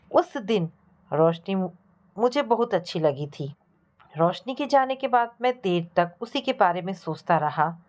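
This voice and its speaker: Woman reading a story out loud, really slow measured delivery, mid pitch. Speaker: story narrator. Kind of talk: scripted first-person story narration.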